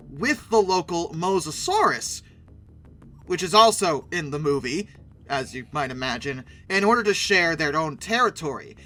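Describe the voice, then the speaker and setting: A man with a high and strikingly bright voice delivers sarcastic commentary as a video host. His pitch swings in a lively way, and he stretches words for wry emphasis. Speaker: host of a dinosaur video channel.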